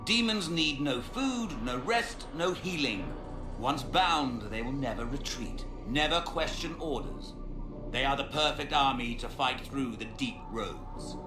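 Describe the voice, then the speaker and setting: An actor playing a smug, fanatical mage villain in a fantasy game, gloating and pompous in a theatrical way. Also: male voice, mid-range voice, expressive, a little slow, lightly acted. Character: smug fanatical male mage villain serving a dark master. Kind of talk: dramatic fantasy game acting. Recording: echoey, with music behind.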